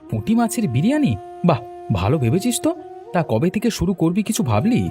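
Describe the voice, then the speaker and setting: A man's voice: high voice, sing-song, fast talker, over music. A man voicing a helpful young village man in a cartoon, friendly, concerned and reassuring. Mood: encouraging. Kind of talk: cartoon voice acting.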